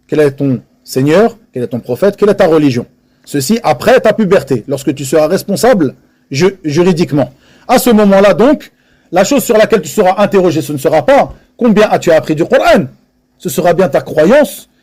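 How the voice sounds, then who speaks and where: A man's voice: high-pitched, lively intonation, normal speed, a little mellow. A man teaching a religious lesson to a live audience in French, earnest and emphatic, repeating key phrases and dropping Arabic religious terms into his speech.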